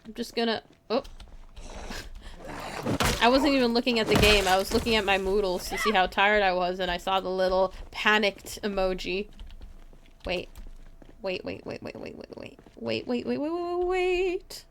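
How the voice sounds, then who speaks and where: A woman's voice: medium pitch, normal speed, a bit bright, slight background noise. A woman streaming a video game live with casual, chatty commentary, often muttering to herself and to game characters.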